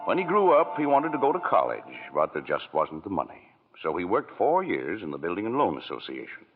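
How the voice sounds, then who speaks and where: A deep, patient heavenly narrator. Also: male voice, fairly quick, slightly rough, phone-quality sound, soft background music, full character voice. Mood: calm. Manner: calm storyteller, explaining. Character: patient senior male angel narrator. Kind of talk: 1940s radio acting. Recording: scratchy 1940s radio broadcast.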